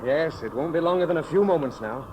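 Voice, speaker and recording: An actor in a 1930s radio serial playing an earnest, loyal military commander who speaks formally and gravely. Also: male voice, on the high side, animated, fast, close to an everyday voice. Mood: earnest, collegial. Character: earnest, loyal Atlantean commander, the hero's companion. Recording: noisy old 1930s radio recording.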